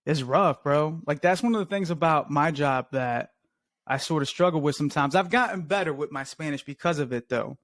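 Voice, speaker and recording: Higher-voiced man in casual podcast chat. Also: fairly quick, fairly bright tone, dry recording, clean studio sound. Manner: laughs often, swears casually. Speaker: podcast co-host.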